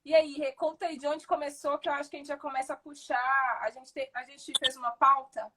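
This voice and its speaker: Upbeat woman host with a high voice, casual live-stream talk. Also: flat delivery, a little fast, very noisy. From Brazil, Minas Gerais. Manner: friendly, upbeat, introduces topics. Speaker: host, entrepreneur. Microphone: video call.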